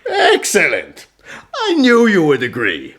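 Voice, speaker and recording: An actor playing a shrewd, imperious medieval sultan in a historical radio drama, measured and questioning. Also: male voice, sits high, huge pitch swings, fairly bright tone, heavily acted. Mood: satisfied, commanding.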